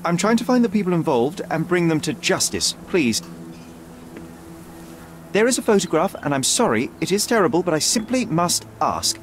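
An actor playing a young detective in a game, articulate, precise and self-assured. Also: male voice, high voice, very animated, normal speed, slight background noise. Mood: pleading, apologetic. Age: young adult. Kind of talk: dramatic game cutscene dialogue. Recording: faint night ambience behind.

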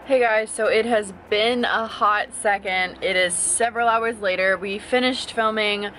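A young college woman talks to a handheld camera in her dorm room, chatty and bubbly. Her pitch sits in the middle for a woman. A faint hiss runs under the recording. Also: fairly even intonation, a bit bright, low-quality recording. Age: young adult. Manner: chatty and bubbly, quick asides. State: stuffed up nose from allergies. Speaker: college student vlogger. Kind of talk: casual vlog chat to camera. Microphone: camera held at arm's length.

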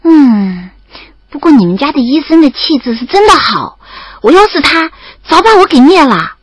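A young woman acting as a lively student in love in an audio drama, telling her story and playing the dialogue. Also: sits high, normal speed, no echo. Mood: animated, teasing. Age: young adult. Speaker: narrator and lead actress. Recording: slightly dull audio over background music. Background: music.

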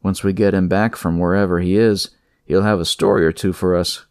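A clinical male doctor, voiced by a story narrator, calm and matter-of-fact. He sounds thoughtful and authoritative, close and dry, as in a horror audiobook. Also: deep voice, brisk, no room sound. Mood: grave, musing.

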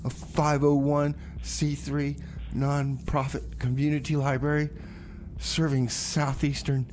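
A man's voice: on the high side, completely flat delivery, slow, a little mellow, slight background noise. A man hosting a community radio music show, talking casually like a DJ with wry, offhand asides. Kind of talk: casual radio DJ talk, announcing songs and local events.

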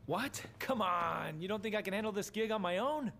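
An American actor playing a cocky, laid-back, wisecracking demon hunter in a video game cutscene. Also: male voice, sits high, lively intonation, normal speed, gravelly, background noise. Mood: wry, challenging.

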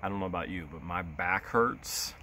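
A man in his thirties digging through a storage unit outdoors, talking excitedly to his phone camera in a casual vlog. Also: deep voice, normal speed. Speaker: storage unit treasure hunter. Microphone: handheld phone camera. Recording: noisy outdoor phone recording.

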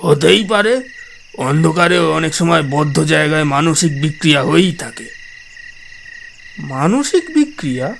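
A man's voice: fairly high pitch, animated, normal speed, creaky, pauses often, a bit bright, slight background noise. A man playing a rational, scoffing sceptic, mocking and colloquial, in a horror audio drama. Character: scoffing, rational male sceptic arguing with his friend.

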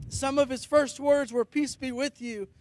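A man's voice: very high voice, steady tone, moderate pace, darkish tone. A middle-aged man preaching a sermon as a pastor, earnest and declamatory, reading out scripture. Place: outdoors on a high ledge in a blizzard. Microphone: handheld or stand microphone close to the mouth.

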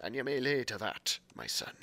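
Man doing a sly, menacing old pirate quartermaster for a storybook reading, loud and bright with a swooping voice. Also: medium pitch, wildly swooping pitch, normal speed, vocal fry, heavily acted. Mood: commanding. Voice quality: loud, rolling pirate speech. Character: cunning old male pirate quartermaster, smooth-talking and menacing.

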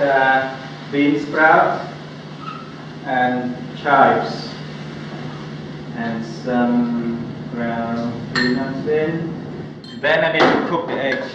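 A middle-aged man with a Thai accent, a native Thai speaker, calmly walking through a cooking demonstration in simple, broken English. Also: medium pitch. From Thailand. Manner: relaxed, unhurried, simple broken phrasing. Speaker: cooking teacher. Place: indoor cooking school kitchen. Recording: echoey kitchen, loud frying noise.

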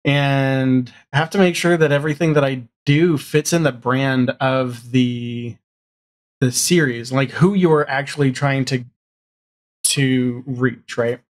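A man's voice: medium pitch, fairly even intonation, slow pace. Man chatting casually, thinking aloud. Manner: rambling, thinks aloud, often ends on "right?". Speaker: guest, audio drama showrunner. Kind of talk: casual chat. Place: home room, video call.

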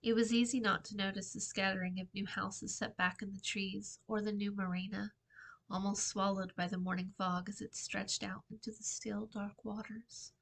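A middle-aged woman reads fiction aloud as its author. Her voice is bright and crisp-edged at a medium pitch. She delivers the prose in a measured, dramatic storytime style, recorded at a desk in a small room. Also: flat delivery. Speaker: author reading from own novel. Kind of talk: expressive storytime book reading. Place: small indoor room with shelving.

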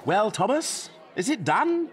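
A fussy, anxious Tudor composer in a comic audio play. The voice is fairly high and extremely animated, with slow, flustered, theatrical phrasing. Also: male voice, background noise. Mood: brisk, pleased. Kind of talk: historical comedy audio drama acting.

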